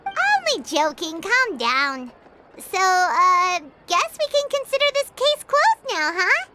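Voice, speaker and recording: A woman's voice: very high voice, very noisy, exaggerated voice. An actress voicing a tiny floating female companion who is chirpy, teasing and blunt, in a game scene. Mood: chatty. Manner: teasing, excitable, quick shifts. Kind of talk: game voice acting. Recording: studio voice with loud game music.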